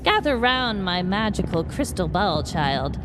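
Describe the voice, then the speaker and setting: A woman's voice: medium pitch, sing-song, background noise. Theatrical old witch, wildly swooping voice. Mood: ominous warning. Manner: theatrical, drawn-out, cautioning. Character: theatrical old witch shopkeeper, sly and ominous. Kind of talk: scripted Halloween podcast skit.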